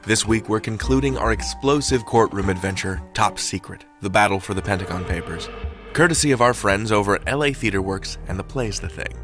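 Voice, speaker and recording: A man's voice: fairly low pitch, brisk, a bit bright, faint music behind. A man hosting a podcast, reading a scripted introduction with wry, dramatic emphasis. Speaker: podcast host.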